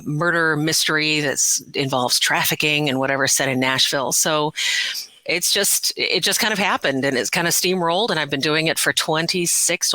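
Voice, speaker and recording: A woman's voice: sits low, sharp tone, no room sound, poor audio. A middle-aged woman chatting as an interview guest, telling stories about her work in a casual, self-deprecating way. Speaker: interview guest, audiobook narrator. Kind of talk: casual interview, recounting career.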